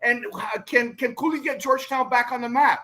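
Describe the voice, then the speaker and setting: A man's voice: very high-pitched, brisk, pushed and bright. A middle-aged man chatting casually as a sports show host, rambling like an enthusiastic fan and tagging his points with a questioning word. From Toronto, Canada. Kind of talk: casual sports interview chat.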